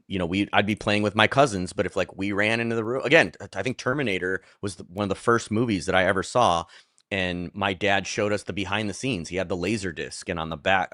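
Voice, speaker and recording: A man's voice: sits low, a bit bright, no room sound, studio quality. Rambling man, Polish first language. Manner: rambling, many restarts and fillers. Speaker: podcast co-host. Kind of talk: casual chat about movies.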